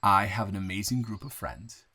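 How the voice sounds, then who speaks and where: Man acting as smitten young newcomer, lowish voice, earnest, halting, rambling, intimate romantic audio roleplay. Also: gravelly, pushed and bright, husky, full character voice. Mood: earnest, tender. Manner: halting, rambling, with repeated words and nervous chuckles. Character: earnest, smitten 23-year-old man, new in town.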